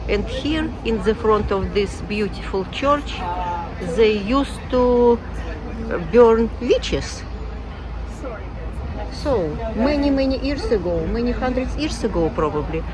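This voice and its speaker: A woman vlogger narrates a casual walking tour outdoors, thinking aloud with hesitant pauses. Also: on the high side, expressive, a crowd in the background, low-quality recording. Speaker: travel vlogger. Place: outdoors on a city square.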